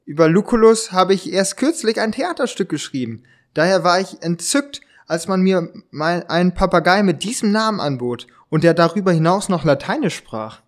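A man acts out a polite but agitated writer in a detective radio play. His voice sits very high for a man. He speaks haltingly and trips over his words, as in an amateur production. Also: lively intonation. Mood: earnest. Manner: halting, stumbling over lines.

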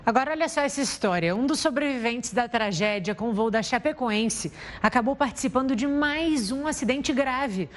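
Woman reading a news report. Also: fairly high pitch. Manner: crisp, measured delivery. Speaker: news presenter.

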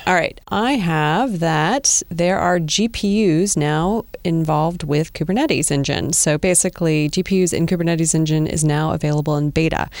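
A woman with a lowish voice co-hosts a tech podcast in an upbeat, casual way. She sets up each segment and welcomes the guests. The sound is dry and close, with little room behind her. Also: normal speed, hardly any pauses, a little mellow, no room sound. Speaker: podcast co-host.

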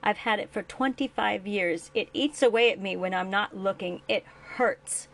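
A woman's voice: medium pitch, normal speed, gravelly. Give me a woman playing a bitter, hurt grown man pleading with his father. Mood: aching. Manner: pleading, rising to anguished shouting. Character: grown man, wounded abandoned son, bitter.

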